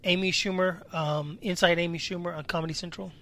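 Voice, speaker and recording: A man in a casual podcast chat with a slightly raspy voice, talking loosely and jumping between topics. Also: high-pitched, fairly even intonation, a little fast, bright, forceful tone. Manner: chatty, jumps between topics. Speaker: podcast co-host. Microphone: home microphone.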